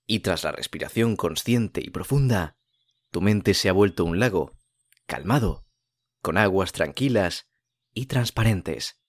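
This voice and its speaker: A man with a low voice leads a guided meditation in a soothing, unhurried manner. He leaves long pauses between phrases. The sound is close and dry, with no room around it. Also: expressive, no echo. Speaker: podcast host and meditation guide.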